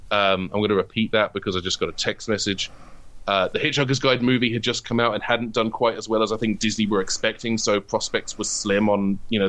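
A man's voice: fairly low pitch, fairly bright tone. A British man from the UK talking casually as an interview guest, explaining his writing in a self-deprecating way. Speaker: guest, comedy writer.

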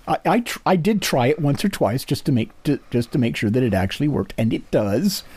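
An older-sounding man hosting a casual interview, speaking haltingly and often repeating himself. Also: medium pitch, big pitch swings, darkish tone, husky, no echo. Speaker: interview host. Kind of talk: casual chat.

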